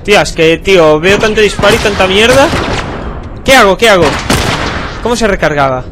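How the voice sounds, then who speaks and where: Excitable young Spanish gamer, high voice. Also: male voice, normal speed, noisy background. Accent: Spanish from Spain. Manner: swearing, excitable, talks to himself. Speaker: let's play gamer. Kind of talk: casual gameplay commentary. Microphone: headset. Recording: loud gunfire from the game behind. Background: game gunfire.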